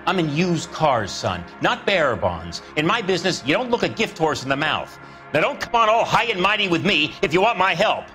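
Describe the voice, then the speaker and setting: An actor playing a wry older used-car dealer in a crime drama video game, folksy, cagey and patronizing. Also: male voice, fairly high pitch, big pitch swings, brisk, pushed and bright. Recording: music behind the voice.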